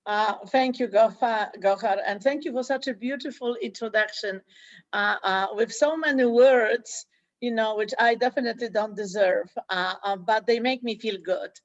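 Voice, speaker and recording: Non-native English-speaking woman from Poland, school director in an interview; halting delivery with frequent fillers. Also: on the high side, no room sound, dull audio. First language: Polish. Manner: hesitant, many fillers and restarts. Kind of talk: interview conversation.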